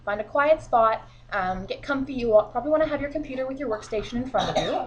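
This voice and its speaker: A woman's voice: fairly high pitch, lively intonation, fast, dull audio. A woman with a cold, sniffling a little, giving chatty, encouraging advice to her sales team from home. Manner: chatty and encouraging, frequent fillers. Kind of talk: casual instructional vlog. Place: at home, children beside her.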